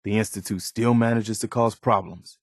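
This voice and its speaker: An actor playing an earnest, loyal and idealistic militia officer in a video game, measured and plain-spoken. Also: male voice, lowish voice, steady tone, no room sound, studio quality. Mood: concerned, serious. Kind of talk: video game character dialogue.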